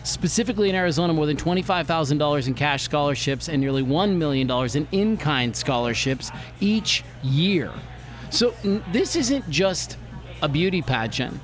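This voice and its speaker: Male podcast host, slightly high voice. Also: animated, moderate pace, slight background noise. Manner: friendly, reads prepared notes. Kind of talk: casual interview.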